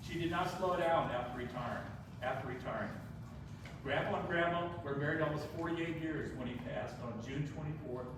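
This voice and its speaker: Halting man reading in an echoey room. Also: fairly high pitch. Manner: halting, stops and restarts words often. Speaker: family member reading a birthday tribute. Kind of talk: reading a prepared life story aloud. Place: birthday celebration in a large room. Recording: echoey room, far from the mic, clear background noise.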